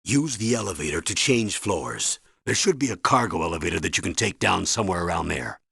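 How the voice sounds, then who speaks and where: An actor playing a stern, urgent military colonel who gives mission orders in a commanding, clipped way in a video game. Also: male voice, deep voice, expressive, brisk, gravelly, a bit bright, husky, no room sound, studio quality, exaggerated voice. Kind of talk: dramatic video game radio briefing.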